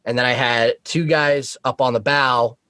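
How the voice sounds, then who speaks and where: A man's voice: mid-range voice, fairly even intonation, unhurried, bright, forceful tone, smooth, no echo. A man chatting informally as a podcast guest, telling work stories and swearing casually. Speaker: podcast guest, Coast Guard boat operator.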